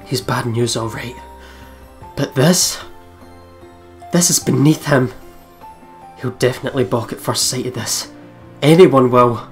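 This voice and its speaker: A let's play host reading visual novel narration aloud in a dramatic, deliberate way. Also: medium pitch. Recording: music behind the voice. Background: game music.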